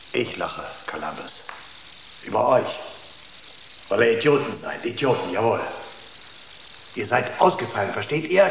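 An actor playing a bitter, suspicious engineer in a radio drama, speaking in sardonic, accusing short phrases. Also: male voice, mid-range voice. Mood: furious scorn. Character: bitter, scornful, drug-worn male engineer, suspicious of comrades. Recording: roomy echo, clear background noise.

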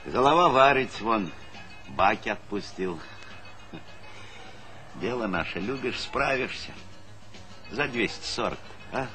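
A man's voice: lowish voice, animated, slow pace, pauses often, sharp tone, faint music behind, heavily acted. An actor playing a hearty, middle-aged collective farm chairman with a folksy, businesslike manner in a stage comedy. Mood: persuasive, businesslike. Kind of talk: Soviet stage comedy acting.

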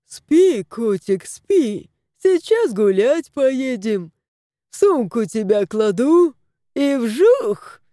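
A woman voicing a sly old male vet who speaks slow, broken Russian. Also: on the high side, sing-song, dark tone, dry recording, studio quality, full character voice.

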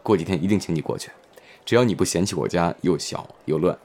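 A man acting a calm, modest actor and mentor in an audio drama, speaking in a mild, reserved, reassuring way. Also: low-pitched, quick pace. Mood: polite, tired.

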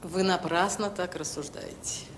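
Woman acting assertive mother, assured, slightly low pitch, audio drama, roomy sound, faint noise. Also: fairly even intonation, a little slow, natural voice. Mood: disapproving. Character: assertive mother at a school parents' meeting. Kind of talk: naturalistic radio drama acting. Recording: echoey room with faint noise.

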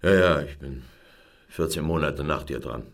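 Very deep, gravelly old guard. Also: male voice, normal speed. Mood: bored, grumpy. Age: older. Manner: laconic, sluggish, dismissive. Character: weary, grumpy older male security guard, slow and unimpressed. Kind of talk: naturalistic radio drama acting.

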